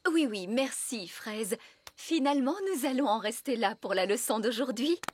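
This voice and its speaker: A woman's voice: a little high, expressive, normal speed, background noise, lightly acted. A woman voicing a prim, refined grown-up fairy lady in a French cartoon dub, polite and theatrical. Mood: gracious.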